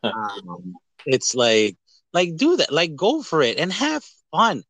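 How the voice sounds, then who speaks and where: Guy on a movie podcast, bright punchy voice, bouncy delivery, rambles and jokes around, really dry sound. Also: on the high side, takes it slowly, no room sound. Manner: animated, rambling, jokey, uses profanity. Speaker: podcast host. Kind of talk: casual movie review chat.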